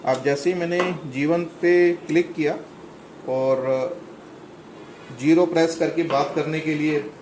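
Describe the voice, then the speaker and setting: Middle-aged guy demoing a sound system step by step, a bit high-pitched, faint hum behind. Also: fairly even intonation, slow pace. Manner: plain explanatory, step by step, some hesitations. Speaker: product demonstrator. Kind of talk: product walkthrough demo.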